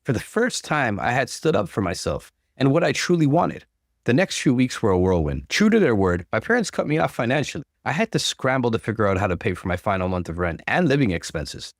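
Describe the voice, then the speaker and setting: A man reads a personal story aloud with lively, swinging intonation. His delivery is earnest and expressive, with clear articulation. The sound is close and dry, with no room around it. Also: medium pitch, fairly quick, dry recording. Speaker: story narrator. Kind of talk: expressive first-person story narration, acting out dialogue.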